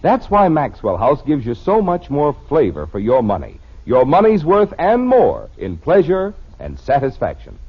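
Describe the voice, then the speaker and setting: An American man announcing a vintage radio advertisement, with a husky voice and a polished, emphatic sales delivery. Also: on the high side, wildly swooping pitch, moderate pace. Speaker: commercial announcer. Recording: dull vintage radio recording.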